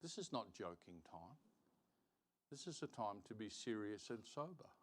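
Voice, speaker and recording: A man teaching a Bible lesson as a preacher, deliberate and emphatic, repeating phrases for stress and reading out verses. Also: fairly low pitch, sing-song. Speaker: preacher teaching Sunday school. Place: church, live-streamed service.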